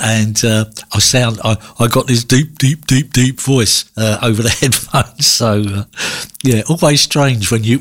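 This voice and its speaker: A man's voice: mid-range voice, a bit bright, slightly rough. A man hosting a music radio show, chatting casually between records with lots of fillers and restarts. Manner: rambling, many fillers and restarts.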